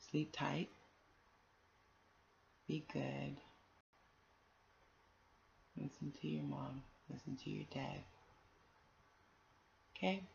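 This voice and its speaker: Creaky-voiced woman reading bedtime stories. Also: sits low, a little mellow, slight background noise. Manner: nurturing, encouraging toward young listeners. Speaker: bedtime story reader and host. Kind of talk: bedtime storybook narration. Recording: dull, lo-fi home recording.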